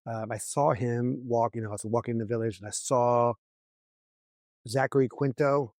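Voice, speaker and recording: A man's voice: medium pitch, fairly even intonation, normal speed, darkish tone, no room sound, clean studio sound. A man hosting a podcast interview, talking in a loose, rambling way with lots of restarts. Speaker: podcast host. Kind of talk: casual interview chat.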